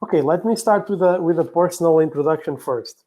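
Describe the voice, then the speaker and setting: A man from Portugal with a Portuguese accent giving a business presentation, explaining point by point in a somewhat halting way. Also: fairly high pitch, warm tone. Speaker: conference speaker, operational excellence professional.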